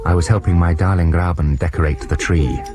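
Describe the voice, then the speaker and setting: An actor playing a man narrating his youthful adventure in a radio drama, measured, wry and fond. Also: low-pitched, steady tone, a crowd in the background, lightly acted. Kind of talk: scripted first-person radio drama narration.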